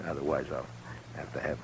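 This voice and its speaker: A man playing a calm frontier marshal in a 1950s radio western, unhurried and often chuckling in a dry way. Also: rumbling low, vocal fry, slight background noise, heavily acted, rough recording. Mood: amused.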